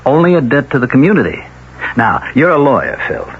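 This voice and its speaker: An actor playing an elitist community elder in a 1970s radio drama, formal, measured and persuasive with quiet menace. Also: male voice, mid-range voice, very animated, husky. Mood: smooth conviction. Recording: dull 1970s radio recording, faint noise.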